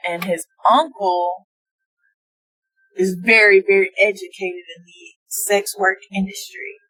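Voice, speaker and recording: A woman's voice: medium pitch, slow, breathy edge, no echo, low-quality recording. Young woman from texas, casual chat, hesitant fillers, dry sound, inside a car. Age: 20s. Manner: hesitant, many ums and likes, relaxed. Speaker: guest.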